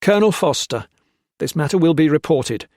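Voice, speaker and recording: A man's voice: on the high side, lively intonation, moderate pace, darkish tone, dry recording, studio quality, voice pushed up. A man voicing a tired, sick army officer who gives angry, commanding orders. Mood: stern. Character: exhausted, ill-tempered male officer.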